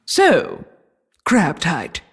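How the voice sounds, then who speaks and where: A woman acts a domineering queen in a comedy audio play. She is formal and brisk, with a husky edge and plenty of movement in her pitch. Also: huge pitch swings, full character voice. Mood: commanding. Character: bossy, no-nonsense queen who rules her husband. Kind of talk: comic period audio drama acting.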